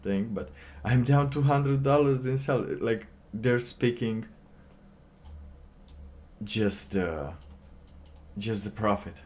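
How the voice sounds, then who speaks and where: A man doing casual livestream commentary, reading aloud and reacting in a halting, sardonic way, often repeating his phrases. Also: mid-range voice, darkish tone. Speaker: livestream host.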